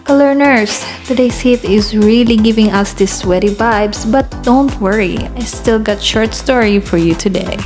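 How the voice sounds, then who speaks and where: A woman's voice: medium pitch, normal speed, hardly any pauses. Woman narrating over background music. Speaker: radio host and narrator. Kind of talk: scripted radio drama narration. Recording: music playing behind the voice.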